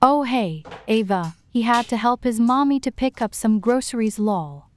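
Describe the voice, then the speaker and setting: A woman's voice: on the high side, expressive, moderate pace, slight background noise, close to an everyday voice. A woman playing a chatty, bubbly, apologetic teenage girl in an amateur scripted storytime drama. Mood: eager. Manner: chatty, eager. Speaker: voice actor in an animated storytime drama. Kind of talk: scripted amateur roleplay dialogue.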